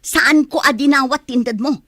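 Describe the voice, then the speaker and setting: A woman's voice: sits high, moderate pace, pushed and bright, no room sound, studio quality. A woman with an Ilocano accent playing a defensive, nagging village wife and mother in a radio comedy-drama. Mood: combative, scolding.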